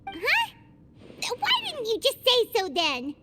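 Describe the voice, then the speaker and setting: A woman voicing a tiny, excitable, nosy female fairy companion in a video game, squeaky, childlike and cheeky. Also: slow, over music, heavily acted. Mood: exasperated. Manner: exclamatory, cheeky, refers to self in third person.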